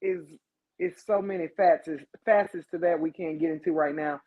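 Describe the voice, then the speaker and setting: A woman's voice: deep voice, normal speed, breathy edge, phone-quality sound. A woman hosting a casual podcast, talking frankly and animatedly about relationships. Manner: animated, frank, reads listener comments. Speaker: podcast host.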